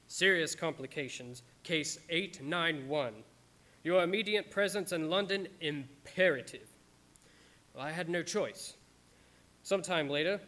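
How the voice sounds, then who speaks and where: A man's voice: on the high side, sharp tone. An actor playing an anguished, devoted doctor who narrates from his journals in an old-time radio drama style. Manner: stammering when distressed, dramatic.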